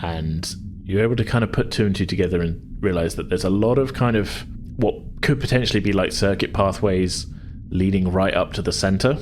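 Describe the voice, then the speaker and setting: A man's voice: low-pitched, a little fast, a little hiss or hum. A man narrating an improvised tabletop game as game master, feeling his way through descriptions with hedged, searching phrasing.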